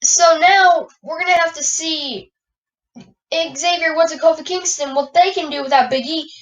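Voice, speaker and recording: A woman hosting a wrestling podcast, raspy and stuffy from a cold, moving from an announcer-style welcome into loose rambling chat full of fillers. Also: very high voice, moderate pace, a bit bright, far from the mic. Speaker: podcast host. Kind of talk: wrestling news commentary.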